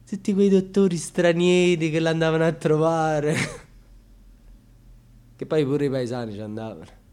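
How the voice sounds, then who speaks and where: An actor playing an intense, bitterly ironic male storyteller in a radio theatre monologue, with a husky, dramatic delivery that moves between murmurs and outbursts. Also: a little high. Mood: shrill, mocking. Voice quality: husky, often dropping into breathy whispers. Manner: dramatic, swinging from hushed murmurs to loud outbursts.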